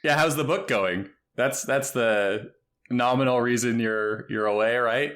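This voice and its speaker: A man hosting a policy podcast, reading a scripted intro and then chatting with guests in a measured way. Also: mid-range voice, fairly bright tone. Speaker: podcast host.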